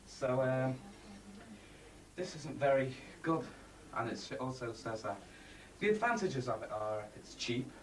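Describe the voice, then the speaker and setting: A man presents a homemade project video in a matter-of-fact, rambling way, often stumbling and correcting himself as he explains. His voice sits at a medium pitch and is picked up from a little distance, with faint noise behind it. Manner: halting, rambling, matter-of-fact, blunt asides. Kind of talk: amateur presentation, half-scripted explaining. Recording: distant mic, faint background noise, amateur home video.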